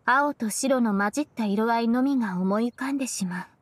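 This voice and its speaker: Woman voicing a high-voiced, earnest young disciple. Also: close to an everyday voice. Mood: thoughtful. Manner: earnest, formal, measured phrasing. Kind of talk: Japanese video game voice acting.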